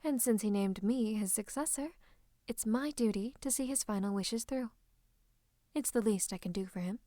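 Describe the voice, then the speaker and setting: A woman playing a scheming royal advisor in a fantasy roleplay, speaking close to the listener in a coaxing, teasing, falsely comforting way with breathy asides. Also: medium pitch, moderate pace, mellow, no room sound, natural voice. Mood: coaxing, patronising. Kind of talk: roleplay ASMR monologue to the listener. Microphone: close microphone.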